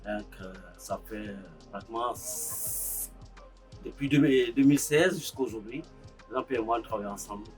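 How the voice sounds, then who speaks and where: A man recounts how his project began, stopping often on filler sounds. His pitch is average for a man, and soft music plays faintly behind him. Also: moderate pace. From Côte d'Ivoire. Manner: hesitant, frequent filler sounds. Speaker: farm co-founder, accountant by training. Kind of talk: interview testimony.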